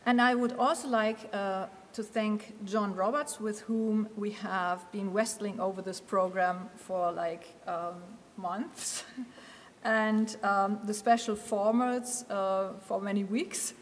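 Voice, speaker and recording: A woman's voice: mid-range voice, fairly even intonation, takes it slowly, a little hiss or hum. A woman with a mid-range voice thanks the team and makes announcements at a conference, hesitating often with ums. She stands at a lectern, and a long hall reverb trails behind her words. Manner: hesitant, with many ums and uhs. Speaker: conference organizer. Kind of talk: welcome remarks and thanks.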